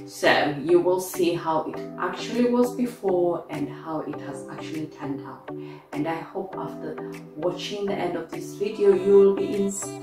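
A middle-aged woman narrating a casual home vlog in a halting, hesitant way, pausing often. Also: fairly low pitch. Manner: hesitant, halting delivery with frequent pauses. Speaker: home renovation vlogger.

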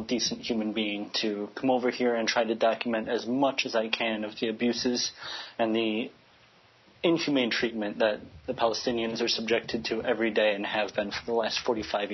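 A man's voice: on the low side, flat delivery, normal speed, breathy edge, muffled recording. A man from Chicago speaking earnestly as a radio interview guest, often restarting his phrases as he searches for words. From United States, Chicago.

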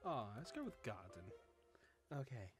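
A man's voice: medium pitch, wildly swooping pitch, moderate pace, over music. A man hosting a word game in casual banter, with a playful, teasing manner.

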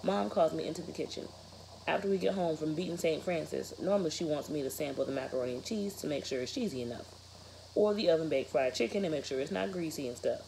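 A woman in her thirties reads to her students like a teacher, pausing to question them. Her voice is low and soft-edged, recorded in a bedroom with a little background noise. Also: gravelly, poor audio. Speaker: teacher reading aloud to students. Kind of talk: read-aloud of a children's novel with questions to students. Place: bedroom, indoors, sitting in bed.

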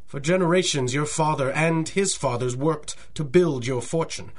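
An older male bank president, played by an actor in an old-time radio play, speaks in a slightly high voice. He is formal and fussy, deferential to his client yet plainly anxious. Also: normal speed, lightly acted. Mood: grave, puzzled. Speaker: actor in an audio drama. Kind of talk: 1930s-style radio drama acting.

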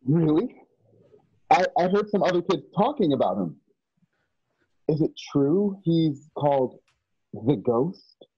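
An earnest young man from a radio drama, acted by a man, speaking in a fairly high, husky voice. He is anxious and hesitant, tripping over and repeating words. The sound is dry and a little dull, like a video call. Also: very animated, slow, pauses often, dry recording, exaggerated voice. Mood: curious, tentative. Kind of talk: online radio drama acting. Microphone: video call, home setup.

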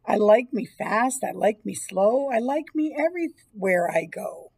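A woman's voice: medium pitch, expressive, measured pace, vocal fry, a little mellow, husky. A woman in her fifties reading a children's picture book aloud as a teacher would. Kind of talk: children's storybook read-aloud. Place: small indoor school room or library.

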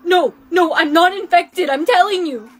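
A woman's voice: very high voice, moderate pace, bright, forceful tone. A woman playing a frightened schoolgirl heroine in a melodramatic, exaggerated skit style. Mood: panicked pleading. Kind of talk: short skit acting, melodramatic.

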